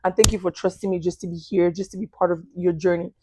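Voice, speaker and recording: A woman's voice: lowish voice, quick pace, a little hiss or hum. A woman in her thirties from Nigeria with a Nigerian accent, a podcast guest speaking candidly and animatedly about her life. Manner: candid, animated, laughs often. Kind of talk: casual testimony.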